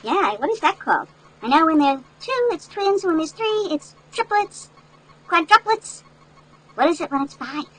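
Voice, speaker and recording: An older woman reading a picture book aloud to children in an animated, folksy way, with chatty asides that explain things. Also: extremely high pitch, normal speed, slight background noise, rough recording. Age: older, great-grandmother. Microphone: headset.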